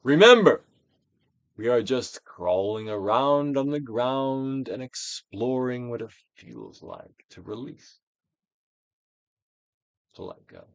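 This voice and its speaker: A man giving a dramatic, Shakespearean-style reading of a self-help book, deliberate and theatrical, with drawn-out emphasis. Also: very animated, creaky, no room sound. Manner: theatrical Shakespearean delivery, swinging from hushed to booming. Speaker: audiobook reader.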